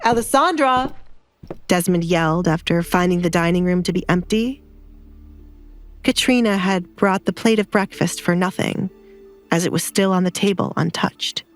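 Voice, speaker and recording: Low-voiced woman narrating a dramatic story. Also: fairly even intonation, normal speed. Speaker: audiobook narrator. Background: background music.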